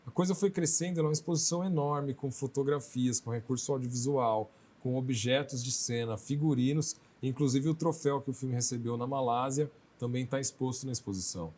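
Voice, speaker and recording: A man's voice: mid-range voice, brisk, hardly any pauses, darkish tone. A Brazilian man, a film director, answering interview questions in a conversational way, telling things through little quoted asides. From Brazil, outside Maranhão.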